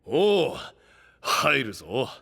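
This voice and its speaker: Gruff, soft-hearted anime boss knight; tough-guy diction, hugely animated delivery. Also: medium pitch, drawn-out words, long reverb tail, exaggerated voice. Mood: nervous bravado. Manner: rough tough-guy speech, expressive inner monologues. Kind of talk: anime voice drama. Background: faint background music.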